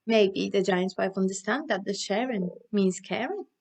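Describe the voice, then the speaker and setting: A woman presenting stories and crafts to young children in an animated, encouraging way, often asking them questions. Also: mid-range voice, moderate pace. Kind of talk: interactive children's storytelling and instruction.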